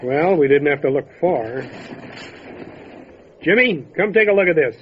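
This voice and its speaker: A man playing a calm, dry, matter-of-fact country sheriff in an old-time radio drama. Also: a little high, measured pace. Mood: grimly matter-of-fact. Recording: dull lo-fi radio drama recording, faint noise.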